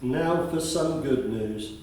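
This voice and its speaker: An actor playing a kindly, talkative school attendance officer in a radio play, with polite and formal manners. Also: male voice, medium pitch, slow pace, a little mellow, slight background noise, natural voice. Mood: friendly. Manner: courteous, formal address, talkative. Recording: very echoey room, distant from the mic.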